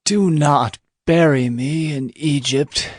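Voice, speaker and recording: An actor playing an aged, weary patriarch in a Bible audio drama, with a solemn, deliberate manner. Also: male voice, on the high side, dry recording, clean studio sound. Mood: solemn pleading.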